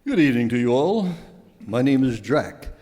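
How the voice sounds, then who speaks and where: A man's voice: medium pitch, big pitch swings, normal speed, mellow, close to an everyday voice. A man playing a courtly, menacing vampire wine steward in an amateur horror comedy radio skit. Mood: courtly menace. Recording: echoey hall, long reverb.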